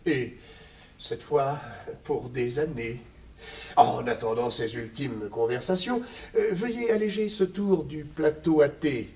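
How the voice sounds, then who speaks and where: An actor playing a smug, genteel captor with unctuous, over-courteous manners, in a 1960s French radio serial. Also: male voice, medium pitch, takes it slowly, a little mellow, far from the mic. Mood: courteous menace. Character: suavely polite male villain, smug genteel captor.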